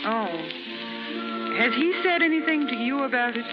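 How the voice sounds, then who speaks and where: An actress playing a poised, genteel and coolly sweet society woman in a 1930s radio drama, refined and politely measured. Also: sits high, close to an everyday voice. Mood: probing. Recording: muffled 1930s radio recording with music.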